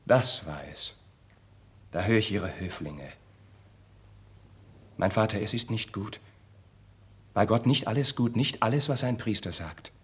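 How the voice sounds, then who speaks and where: Fervent young prince, slightly husky. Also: male voice, fairly low pitch, unhurried, long pauses. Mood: earnest, pleading. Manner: declamatory, fervent, theatrical stage diction. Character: impassioned young Spanish crown prince, proud, idealistic, wounded son. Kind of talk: 1950s German radio drama acting.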